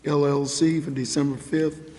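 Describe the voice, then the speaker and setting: A man's voice: a little high, little pitch movement, moderate pace, warm tone. A man serving as a council member, stating formal motions at a meeting. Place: council chambers. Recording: hall reverb of a meeting room.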